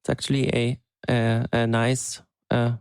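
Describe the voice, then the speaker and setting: A man co-hosting a podcast, chatting casually and thinking aloud in an unhurried, hesitant way. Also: medium pitch, monotone, a little mellow, clean tone, dry recording, studio quality. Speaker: podcast co-host. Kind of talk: casual chat.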